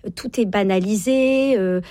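A woman's voice: medium pitch, measured pace, warm tone, no room sound, studio quality. A woman in her forties, a guest author on a radio talk show, speaking emphatically with many fillers and a repeated "hein". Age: 40s. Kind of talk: radio interview answers.

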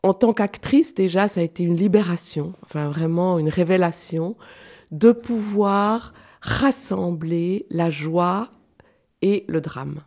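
A woman from Switzerland, a clown and actress, speaking as a guest in a radio studio interview, thoughtful and halting with many fillers. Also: lowish voice, darkish tone.

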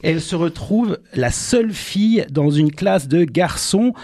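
A man's voice: a little high, measured pace. A man hosting a film review show, chatting casually, introducing films and asking his guests questions. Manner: lively, guides the talk, asks guests questions. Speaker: radio film show host.

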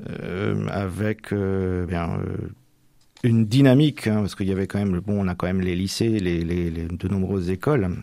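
A man's voice: low-pitched, steady tone, moderate pace, warm tone, no echo. A young man training for the priesthood, answering radio interview questions in a hesitant way with many fillers and restarts. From Manche, Normandy.

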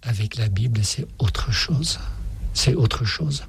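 A man with a Dutch or Flemish accent speaking French, a priest answering reflectively in an interview with deliberate phrasing and repetitions for emphasis. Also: on the low side, lively intonation, gravelly, a little hiss or hum. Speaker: Jesuit priest, author, interview guest.

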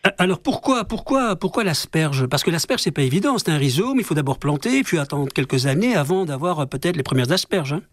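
A man's voice: a little high, animated, fairly quick, hardly any pauses, dry recording. A man hosting a food radio show, chatty and teasing as he leads the interview. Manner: chatty, teasing, leading questions. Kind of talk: radio interview, casual chat.

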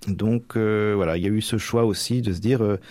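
Middle-aged guy from normandy, bit low voiced, thinks out loud in an interview, stop-and-start, no room sound. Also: fairly even intonation, dry recording. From Manche, Normandy, France. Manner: hesitant, halting, frequent tags at phrase ends. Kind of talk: reflective interview answers.

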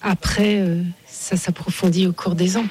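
A woman's voice: fairly low pitch, steady tone, normal speed, darkish tone, slight background noise. A middle-aged woman from Paris, a guest in a casual outdoor interview at a building site, talking hesitantly with many fillers and restarts.

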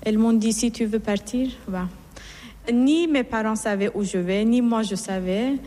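A woman's voice: fairly high pitch, normal speed, dark tone. A middle-aged woman from Iran, a nun, telling her life story in an interview in an earnest, reflective way, often restarting her sentences. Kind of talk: personal testimony in an interview. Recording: long hall reverb.

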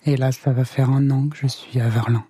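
A young man in his twenties tells his own story in a slow, subdued way. His voice is husky and mellow with a flat melody. It is recorded close and dry. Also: medium pitch, dry recording. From France. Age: 20s. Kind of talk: recorded personal testimony.

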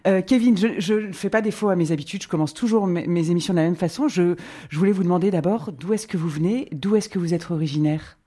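A woman hosting a radio interview, asking gentle questions and often restarting her sentences. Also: fairly low pitch, fast, few pauses. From not from the local region. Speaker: radio host.

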